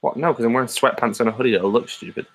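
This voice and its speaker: A man's voice: medium pitch, rapid-fire, vocal fry. A man chatting casually, joking bluntly and swearing freely. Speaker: co-host. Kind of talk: casual chat over a call.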